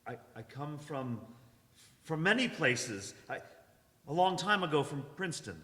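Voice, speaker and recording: A man playing a haunted, weary wanderer, solemn and sometimes halting, in a live radio drama. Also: fairly high pitch, animated, bright, forceful tone. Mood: nervous, hesitant. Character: haunted, weary male wanderer narrating his survival. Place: church hall stage, live performance. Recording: echoey hall, live performance.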